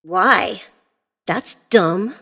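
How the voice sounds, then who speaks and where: A woman's voice: mid-range voice, expressive, slow pace, slightly rough, exaggerated voice. A woman voicing a spoiled, rude young daughter who whines and snaps. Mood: whiny. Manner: whiny, snappish.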